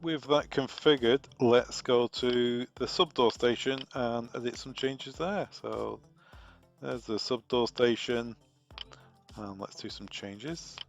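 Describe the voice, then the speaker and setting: Brief: British man, trainer doing a screen walkthrough, unhurried delivery, gravelly edge, faint music behind. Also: mid-range voice, highs cut off. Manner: relaxed, thinking aloud with fillers. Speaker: product trainer. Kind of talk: software configuration walkthrough.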